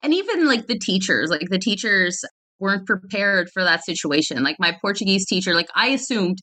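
A woman's voice: mid-range voice, expressive, brisk, sharp tone. A woman, a podcast guest who talks casually, peppers her speech with "like", and laughs often. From Canada. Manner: chatty, many "like" fillers, laughs often. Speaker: guest, fitness founder.

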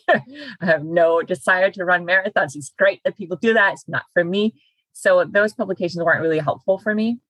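Woman in her 40s, low husky voice, dry close sound, chatty and organized. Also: fairly bright tone, no room sound, rough recording. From Denmark. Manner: structured and chatty, laughs now and then. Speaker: guest, personal trainer. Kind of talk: podcast interview.

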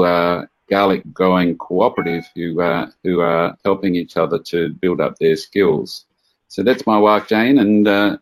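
An Australian man, a guest in an interview, answering in a measured way with many pauses over a video call. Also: low voice. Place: farm home.

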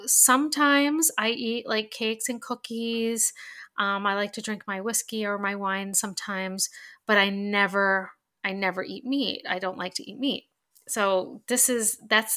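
A woman's voice: medium pitch, pushed and bright. A woman giving casual self-help advice to camera, chatty and full of fillers, her voice stuffed-up and nasal from allergies. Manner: chatty, many fillers and restarts.

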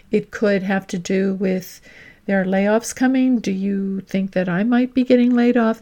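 A woman with a mid-range voice presents as a podcast host and coach. She speaks deliberately and instructively, as if following prepared notes, and addresses the listener directly. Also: medium pitch, a little mellow. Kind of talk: scripted instructional podcast monologue.

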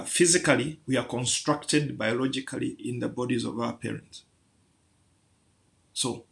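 A man's voice: mid-range voice, creaky, fairly bright tone. A man preaching a sermon, building through rhetorical questions to emphatic peaks.

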